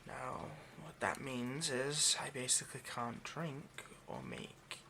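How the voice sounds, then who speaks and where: A man playing an obsessive, hungry vampire in an intimate ASMR roleplay, murmuring haltingly and often slipping toward a whisper. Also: mid-range voice, creaky, background noise. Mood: halting, explanatory. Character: obsessive, hungry male vampire, possessive and seductive.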